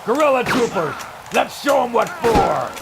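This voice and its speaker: A man acts a gung-ho crewman in a radio adventure. His high, creaky voice is folksy and punchy, over prominent music. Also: sing-song, a little slow, full character voice. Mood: fired up. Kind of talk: pulp radio adventure acting.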